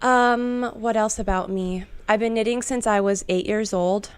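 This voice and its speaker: Thirties woman co-host, unhurried, giggly, clear smooth tone. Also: mid-range voice, fairly even intonation. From southern Idaho. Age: 30s. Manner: unhurried, giggly, loses count mid-sentence. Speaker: co-host, knitwear designer. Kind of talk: casual chat. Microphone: video call.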